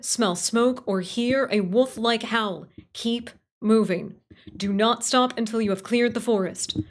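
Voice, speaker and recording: A woman playing an urgent, formal emergency broadcast announcer in a radio play, measured and emphatic. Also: a little high, slight background noise, lightly acted.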